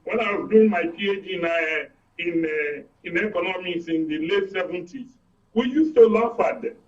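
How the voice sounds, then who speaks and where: High-pitched older Nigerian man, explaining emphatically. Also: normal speed, recorded from a distance, lo-fi sound. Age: 60s or older. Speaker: guest economist. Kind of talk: explanatory political discussion on a live broadcast.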